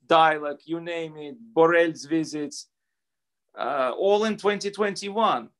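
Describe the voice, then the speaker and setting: Middle-aged man, slightly high voice. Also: a little slow. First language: Russian. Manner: earnest, argumentative, repeats words as he restarts. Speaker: panelist. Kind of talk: panel discussion remarks. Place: home room indoors. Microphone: video call.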